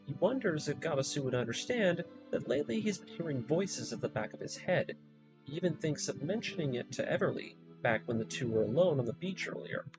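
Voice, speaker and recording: A man giving a scripted story recap in a measured, explanatory storytelling manner, as the narrator of a lore video. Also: a little high, animated, music in the background, low-quality recording.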